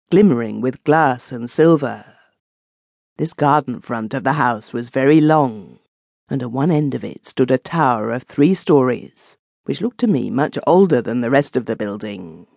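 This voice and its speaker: A man reads a classic ghost story as an audiobook narrator, in a measured, literary storytelling manner. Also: medium pitch, vocal fry, darkish tone, dry recording.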